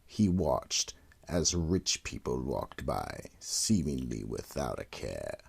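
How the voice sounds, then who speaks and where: A man playing a sinister horror-anthology narrator, the Keeper of the Vault, with a deep gravelly rasp and slow, ominous delivery. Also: a little mellow, heavily acted. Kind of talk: 1940s-style radio horror narration.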